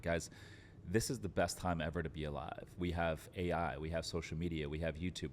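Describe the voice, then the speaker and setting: A man's voice: deep voice, slight background noise. A man giving conversational business advice as an entrepreneur guest on a podcast, informal and sprinkled with filler words. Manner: informal, frequent fillers like "like" and "literally".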